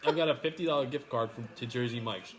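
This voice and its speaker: A man's voice: mid-range voice, fast, poor audio. A man in his thirties with a New York accent, rambling and joking casually as he eats. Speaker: mukbang host. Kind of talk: casual chat while eating.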